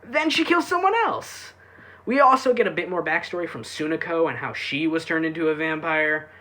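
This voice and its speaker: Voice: mid-range voice, expressive, moderate pace, fairly bright tone. A reviewer giving a casual, sarcastic recap with irreverent, sweary delivery. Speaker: YouTube anime reviewer.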